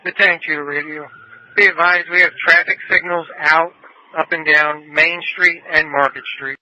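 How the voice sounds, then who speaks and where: A man's voice: on the high side, fairly even intonation, normal speed, bright, forceful tone, lo-fi sound. A man serving as fire battalion chief, making brief command calls over two-way radio. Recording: band-limited emergency scanner radio.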